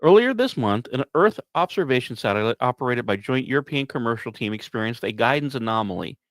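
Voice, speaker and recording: Animated male science podcast host, emphatic delivery. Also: medium pitch, fast talker, no room sound. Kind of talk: scripted science news commentary with personal asides.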